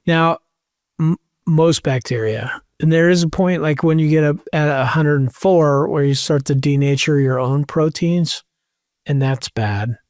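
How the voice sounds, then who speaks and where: A man's voice: on the high side, a little slow, darkish tone, no echo, studio quality. A man in his thirties, a podcast co-host, joking and explaining things offhand in casual chat.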